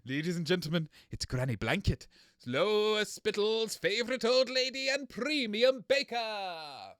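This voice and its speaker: A man's voice: high-pitched, animated, unhurried, a bit bright, no echo, studio quality. A man playing a grumpy, shameless little radio host in scripted comedy banter, blustering and dismissive. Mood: showy.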